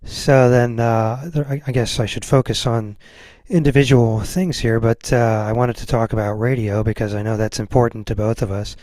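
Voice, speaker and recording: A man working as an interview host, chatting casually as he puts questions to a guest. His voice sits in an ordinary middle pitch, recorded very dry with hardly any room around it. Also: fairly even intonation, moderate pace, darkish tone, no room sound.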